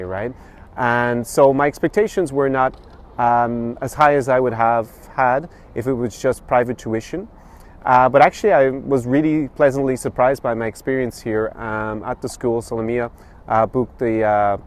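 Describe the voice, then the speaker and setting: Hesitant man vlogging outdoors by traffic. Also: medium pitch. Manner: hesitant, many fillers and restarts. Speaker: travel and language vlogger. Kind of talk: casual spoken review to camera. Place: outdoors in a city street by a statue.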